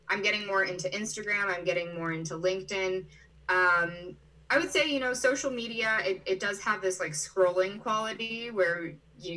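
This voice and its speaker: A woman's voice: medium pitch, sharp tone, distant mic, low-quality recording. A young woman in her twenties from Brazil and Chicago, chatting casually as an interview guest and filling her talk with "like" and "you know". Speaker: interview guest, digital nomad.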